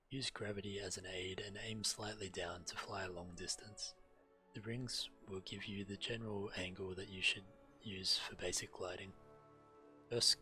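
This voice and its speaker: A man's voice: low voice, sharp tone. A man streaming a video game, commenting on play and reading quest text aloud.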